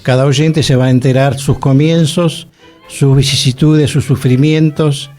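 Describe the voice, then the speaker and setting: A man's voice: medium pitch, steady tone, a little slow, a little mellow, faint music behind. A man with a Rioplatense Argentine accent speaking conversationally as a radio panelist, with courteous, slightly formal wording. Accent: Argentine Rioplatense Spanish.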